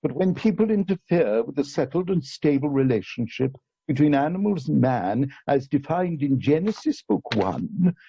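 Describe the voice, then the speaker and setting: Man playing a pompous, creaky-voiced country-house gentleman. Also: fairly high pitch, very animated, normal speed, dull audio, exaggerated voice. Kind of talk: amateur radio play acting. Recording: music behind, home online recording.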